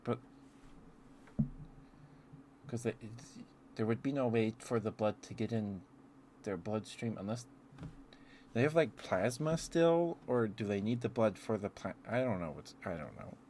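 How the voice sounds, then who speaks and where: A man's voice: lowish voice, animated, a little slow, pauses often, darkish tone, slight background noise. A man streaming casual, rambling ASMR chat, musing aloud hesitantly and letting thoughts trail off.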